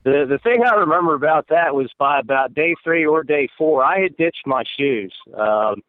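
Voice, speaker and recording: A man's voice: on the high side, animated, moderate pace, no room sound. A man calling in as a guest, telling an easygoing story about working on a grounds crew. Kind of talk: casual storytelling chat. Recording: tinny phone-line call.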